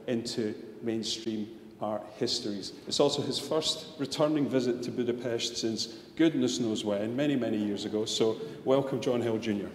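A man's voice: mid-range voice, moderate pace, slight background noise. A man acting as conference host, formally introducing the next speaker with a handheld microphone. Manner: holds handheld mic, walking. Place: large conference hall. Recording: distant, roomy hall with faint ambience.

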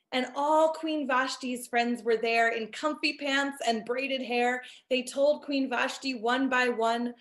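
Woman, thirties, children's storybook narrator, slow pace, expressive, deliberate rhyming delivery, video call. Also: fairly high pitch. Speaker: storyteller and author reading her picture book. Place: indoor room at home, on a video call. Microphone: computer video call.

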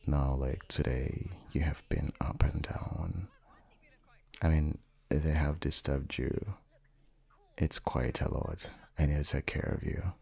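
A man playing an affectionate, playfully teasing young dad and husband in an intimate ASMR audio roleplay, speaking softly and often dropping into whispers. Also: steady tone, exaggerated voice. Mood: tender. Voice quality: very deep, husky, gravelly, breathy. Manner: soft, unhurried, often slipping into whispers.

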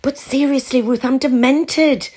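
A woman voicing a flustered, excitable middle-aged divorced mum, confiding and urgent in an audio story. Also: high-pitched, measured pace, breathy edge, voice pushed up. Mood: pleading.

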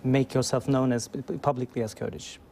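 Man, gravelly and dark voice, journalist guest, thoughtful. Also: medium pitch, level tone, fairly quick, a little hiss or hum. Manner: thoughtful, stumbles on words. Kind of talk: studio interview. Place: television news studio.

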